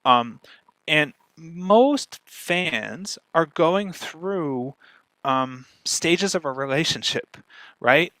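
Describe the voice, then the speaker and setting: A man speaking conversationally as a guest expert, animated and often checking in with "right?". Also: fairly high pitch, very slow, no room sound, low-quality recording. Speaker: guest speaker, podcaster and marketer. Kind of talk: conversational talk on podcast marketing.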